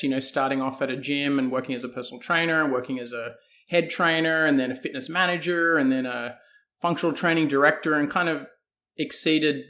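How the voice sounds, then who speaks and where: A man's voice: medium pitch, a bit bright. A man with an Australian accent answering as a guest in a conversational interview, hedging and thinking aloud as he goes. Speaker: guest, fitness coach.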